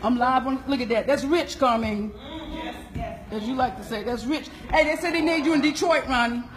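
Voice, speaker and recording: A woman with a Southern US accent, casually hyping a show on a live stream, excitable and often repeating herself. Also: sits high, normal speed. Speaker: playwright and producer hosting a live video. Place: theater stage and auditorium. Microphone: handheld phone at arm's length. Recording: phone live stream with band music behind. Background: band music.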